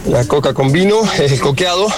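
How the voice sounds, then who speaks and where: A man's voice: a little high, animated, brisk, over loud music. A man from Córdoba calling in to a radio show, explaining in a hesitant way. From Córdoba, Argentina. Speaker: caller. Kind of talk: radio call-in.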